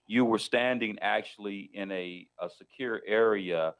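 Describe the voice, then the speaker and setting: Low-voiced male attorney hosting a legal talk show, halting, restarts a lot. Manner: hesitant, many restarts and fillers, leading questions.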